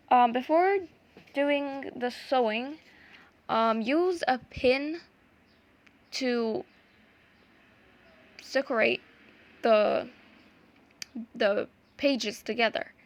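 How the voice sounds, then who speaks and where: A young woman whose first language is Arabic, talking through a craft tutorial in a hesitant, casual way with many pauses and restarts. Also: fairly high pitch, vocal fry. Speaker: art vlogger.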